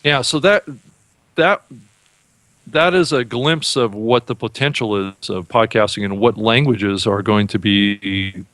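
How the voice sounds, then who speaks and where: A man hosting a podcast, talking in a casual, halting way and repeating words as he thinks. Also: fairly low pitch, a bit bright, no room sound. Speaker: podcast host. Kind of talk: casual chat.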